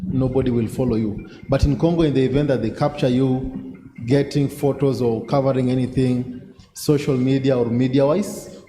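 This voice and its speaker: A man's voice: medium pitch, steady tone, moderate pace, warm tone. A man giving practical advice as a panelist in a discussion. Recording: echoey reverberant hall, faint background noise.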